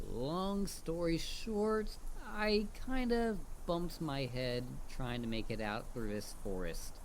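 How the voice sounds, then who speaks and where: A man's voice: medium pitch, a little hiss or hum, full character voice. A man voicing a chatty, mischievous talking head of a dullahan in a casual, rambling audio roleplay spoken straight to the listener. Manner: casual, rambling, hesitant with stammered repeats. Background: ambient forest sound effects.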